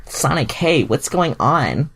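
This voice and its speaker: A man's voice: fairly high pitch, sing-song, slow, fairly bright tone, slightly rough, pitched above the performer's own voice, heavily acted, rough recording. A man voicing a friendly, eager young male superhero in a story, chummy in manner. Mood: eager, cheerful.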